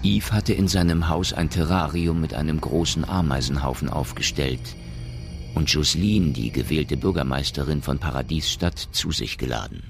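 A man reading scripted narration as the measured storyteller of a science fiction radio drama. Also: a bass voice, vocal fry. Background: music.

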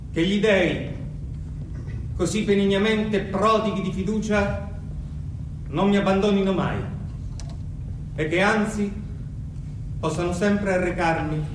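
In a noisy, distant theatre recording, a young Roman emperor unhinged by power. His high voice delivers grand, pompous oratory with halting turns, swimming in heavy echo. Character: young, mad, pompous Roman emperor turning foul-mouthed. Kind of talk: theatrical monologue, formal oration to the senate. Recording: echoey, distant, noisy live stage recording.

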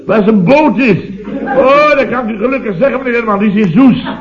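A man's voice: extremely high pitch, quick pace, very noisy. An actor playing an exasperated building contractor boss in his fifties in a vintage radio comedy, telling his woes with indignation. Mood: flustered dismay. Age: 50s. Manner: indignant, long-suffering storyteller. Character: blustering, exasperated fifty-year-old building contractor boss. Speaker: comic lead in a radio serial. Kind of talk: 1970s Dutch radio comedy acting. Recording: dull, roomy vintage radio recording.

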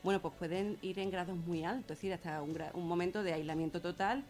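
A woman with a Spain accent and a fairly low voice talks in a radio discussion. She explains earnestly, circling back to rephrase, with music playing behind her. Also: fairly even intonation, fast talker, a little mellow. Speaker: guest speaker on gender violence.

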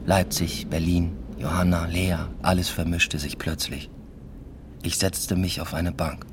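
A man playing an introspective, uneasy academic who narrates his own story in a measured, subdued radio drama style. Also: low voice, fairly even intonation, background noise. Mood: brooding, reflective. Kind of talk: literary first-person radio drama narration.

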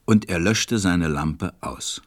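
A man's voice: low-pitched, slow, no room sound, clean studio sound. A man narrating a storybook audio drama from a script.

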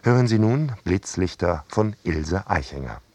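Low-voiced male radio announcer. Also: animated, a little slow, darkish tone, no echo. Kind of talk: scripted programme introduction.

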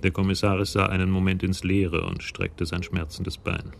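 A man narrating a crime radio play from a script in a sober, measured way. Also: sits low, little pitch movement, vocal fry, a little hiss or hum.

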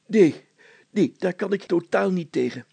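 A man puts on a squeamish, fussy mayor for a storybook tale. The voice is pitched up and husky, full of hesitant exclamations and repeated words. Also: expressive, measured pace, warm tone, dry recording, exaggerated voice.

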